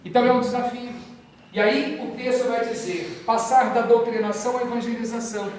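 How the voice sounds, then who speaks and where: A Brazilian man from São Paulo, a priest, giving an informal training talk to parish catechists, animated and conversational with asides and jokes. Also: extremely high pitch, fairly quick, slight background noise. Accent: Brazilian Portuguese, São Paulo. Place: parish hall. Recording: echoey parish hall from far off.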